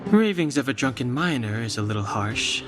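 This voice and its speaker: Game role: male actor for reserved young companion, level-headed, understated, music clearly underneath. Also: mid-range voice, sing-song, moderate pace, lightly acted. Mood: cool, dry. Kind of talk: video game voice acting.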